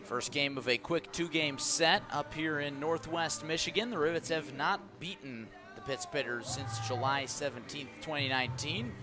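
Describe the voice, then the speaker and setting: A man's voice: a little high, normal speed, few pauses. A man calling baseball play-by-play live on the radio in short, clipped, vivid phrases. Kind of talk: live radio sports commentary. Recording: live ballpark broadcast with background noise.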